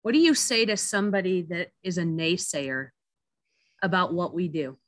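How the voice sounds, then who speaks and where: A woman's voice: fairly low pitch, animated, moderate pace. A woman hosting an interview, chatty and playful and gushing with praise for her guest. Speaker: interview host. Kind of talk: casual interview chat.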